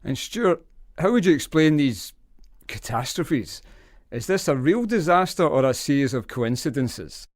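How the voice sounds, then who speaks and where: An actor playing a serious radio news reporter, reading and interviewing in a formal, measured broadcast style. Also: male voice, a little high, sing-song, dry recording, clean studio sound. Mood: probing. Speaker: newsreader. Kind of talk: scripted broadcast news delivery in audio drama.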